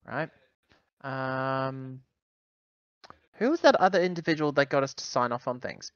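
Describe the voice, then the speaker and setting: A man's voice: medium pitch, expressive, measured pace, fairly bright tone, clean tone, no echo. Male tabletop player, dry muffled sound. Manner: describes character's actions, thinking aloud. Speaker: player in a tabletop roleplaying game. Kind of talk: casual game chat.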